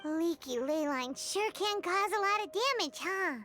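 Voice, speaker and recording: A woman voicing a tiny, perky, childlike fairy companion in a video game, with a squeaky, bubbly delivery. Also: animated, measured pace. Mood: curious. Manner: bubbly, exclamatory. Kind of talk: video game character acting. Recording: game music loud behind.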